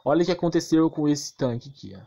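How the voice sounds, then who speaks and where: A man's voice: on the high side, expressive, quick pace, vocal fry, dark tone. A man with a Brazilian accent giving a casual, ranting game commentary, slangy and crude, emphatic and repetitive with rhetorical questions. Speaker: gaming YouTuber.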